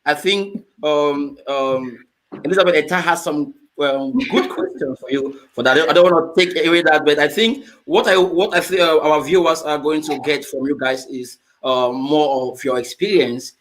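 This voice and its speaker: A man hosting a casual talk show, speaking in a halting, rambling way and often repeating himself. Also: a little high, fairly bright tone, rough recording. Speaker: host.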